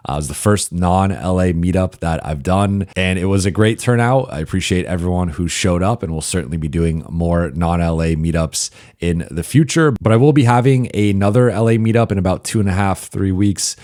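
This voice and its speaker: Hoarse man, very low pitch, dry close sound; sports reporter working through a stats-heavy mailbag. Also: a little mellow, dry recording. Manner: analytical, reels off statistics with frequent "uh" pauses. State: hoarse, partly lost voice. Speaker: basketball reporter and show host. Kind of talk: solo mailbag podcast commentary.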